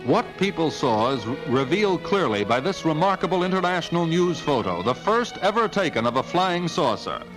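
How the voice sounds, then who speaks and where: A man's voice: a little high, very animated, fairly quick, few pauses, rough recording. An American man narrating a 1950s newsreel in an emphatic, portentous way, on an old film soundtrack. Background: newsreel music.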